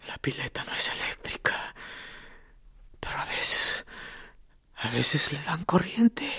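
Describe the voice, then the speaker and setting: An actor playing a battered male political prisoner in a radio drama, whispering hoarsely with bitter, mocking defiance. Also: mid-range voice, fairly bright tone, exaggerated voice. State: exhausted from torture.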